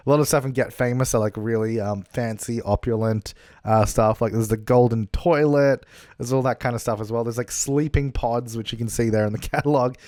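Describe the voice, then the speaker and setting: A man's voice: medium pitch, steady tone, fairly quick, darkish tone, no echo, clean studio sound. A young man chatting casually over gameplay, rambling with lots of fillers and laughs, his voice a little worn, recorded in a hotel room. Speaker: gaming YouTuber. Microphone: travel recording setup.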